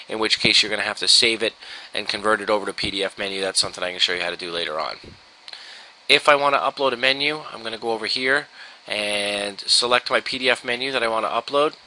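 An American man giving an informal software tutorial, explaining step by step in a casual, instructional way. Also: on the low side, fast talker, low-quality recording. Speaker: software trainer. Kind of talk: informal screen-share tutorial. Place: indoors, at a computer.

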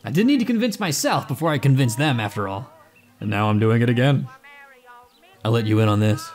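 An actor playing a cocky, smooth-talking inventor who teases and boasts in an audio drama. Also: male voice, mid-range voice, lively intonation, a little fast, darkish tone, natural voice. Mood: boastful. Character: cocky, glib inventor and self-styled man of science.

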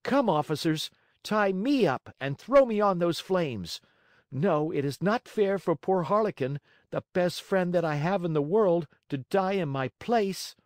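A man's voice: sits high, expressive, measured pace, a little mellow, no room sound, clean studio sound, voice pushed up. A man voicing a small wooden boy marionette, earnest, brave and kind, in a storybook reading. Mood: proud, brave. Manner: pleading, earnest delivery.

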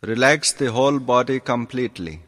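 A man teaching yoga through guided meditation, calm and deliberate, giving instructions in short measured phrases. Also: mid-range voice. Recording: long reverberant hall sound.

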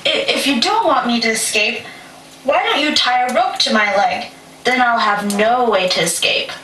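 A brave, clever young girl acted by a woman in a puppet play. Her voice is high and bright. It is heard in an echoey space with some noise. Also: lively intonation, moderate pace. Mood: nervous, pleading. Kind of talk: puppet show voice acting. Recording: echoey, distant, slightly noisy stage recording.

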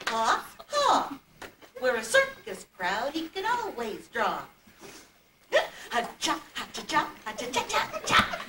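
A woman's voice: high voice, full character voice. A woman playing an exuberant, silly patchwork doll in a puppet show, eager and sing-song. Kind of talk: amateur puppet-show singing and acting. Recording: distant echoey home video, loud noise.

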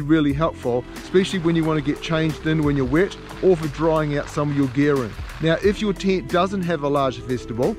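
Upbeat New man, outdoor tips. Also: fairly high pitch. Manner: upbeat, encouraging, direct to camera. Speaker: outdoor channel host. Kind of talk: instructional outdoor vlog. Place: outdoors on a mountain meadow by a tent. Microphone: camera at arm's length.

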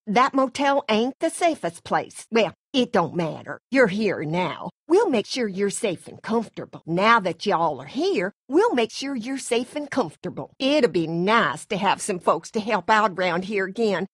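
A woman's voice: mid-range voice, very animated, moderate pace, hardly any pauses, slightly rough, dry recording, clean studio sound. A woman playing a middle-aged Southern farm mother from a video game, with folksy, effusive hospitality and a controlling streak. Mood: soothing, folksy. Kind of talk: video game character acting.

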